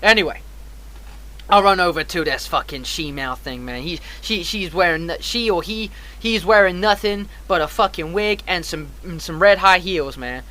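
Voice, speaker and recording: A man's voice: high-pitched, moderate pace, bright, forceful tone. A man casually telling a personal story as a streamer, in an emphatic, slangy, heavily profane way.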